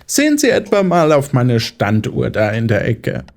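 An actor playing a patronising, condescending psychiatrist who explains in measured phrases in a German audio drama. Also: male voice, medium pitch, huge pitch swings, vocal fry, darkish tone. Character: smug, patronising male psychiatrist, calmly condescending.

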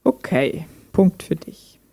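A woman playing a gentle, guarded chatbot that talks like a human in an intimate radio play, thoughtful and understated. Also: slow, no echo, natural voice. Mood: guarded, wry.

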